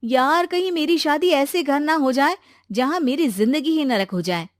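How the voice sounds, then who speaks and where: Woman voicing a breezy high-pitched young bride-to-be. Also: expressive, normal speed, dry recording, clean studio sound. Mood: worried, curious. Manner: chatty, eager, insistent.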